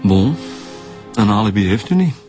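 An actor with a Flemish accent playing a blunt, insinuating police detective in a radio drama. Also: male voice, fairly low pitch, big pitch swings, normal speed, dark tone, faint music behind. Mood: probing, blunt. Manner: blunt, probing.

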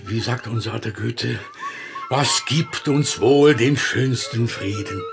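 A man's voice: medium pitch, slow pace, creaky, music in the background. A man playing a cajoling, old-fashioned friend in a German radio play, florid and grandly persuasive. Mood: grandly coaxing. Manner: florid, coaxing.

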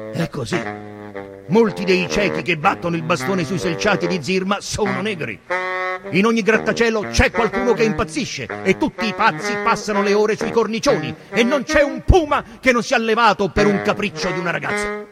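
An actor playing a reflective Venetian merchant traveller, reciting theatrically with emphatic, incantatory phrasing and dramatic pauses. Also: male voice, sits high. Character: Venetian merchant traveller, reflective, vivid storyteller of imagined cities. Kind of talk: theatrical literary recital over live music. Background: live saxophone music.

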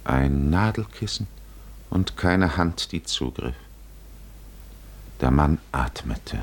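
Radio drama narrator: grave male voice, extremely deep and husky, hushed, solemn, halting. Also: lively intonation, heavily acted.

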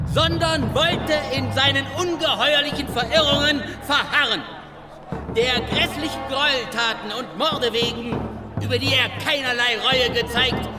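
An actor playing a stern official, loudly proclaiming a sentence in a formal, declamatory manner. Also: male voice, very high-pitched. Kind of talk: radio drama public proclamation. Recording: loud crowd and music behind.

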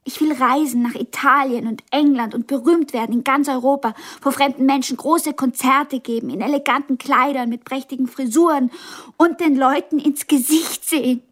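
An actress in a radio drama playing a blind young pianist, wounded yet ambitious and frank, with a halting, intense delivery. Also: female voice, high voice, steady tone, lightly acted. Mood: yearning, determined.

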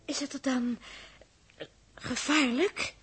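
An actress playing an anxious, bewildered space crew member in a 1960s radio drama, hesitant and stammering, with a Netherlandic Dutch accent. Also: female voice, high-pitched, fairly even intonation, takes it slowly, a bit bright. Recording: long hall reverb, 1960s radio recording.

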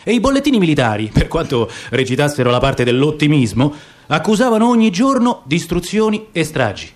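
A man's voice: on the high side, brisk. A man giving a dramatic, theatrical reading of literary prose as the narrator of a radio theatre piece. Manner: expressive, theatrical storytelling.

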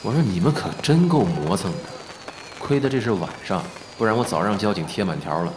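A man's voice: on the low side, animated, fairly quick, close to an everyday voice. A man playing a cool, casual celebrity in an audio drama, talking in a dry, wry way. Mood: wry, teasing. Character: cool, casual male celebrity hiding his feelings. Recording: clearly noisy background ambience.

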